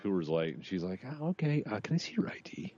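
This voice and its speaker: A man in his late thirties with a very low voice tells casual stories as a podcast co-host. He is wry and swears freely. The sound is slightly dull and lo-fi. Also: sing-song, fast talker, mellow. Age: late 30s. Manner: wry, profane storytelling. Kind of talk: casual chat, swearing anecdotes.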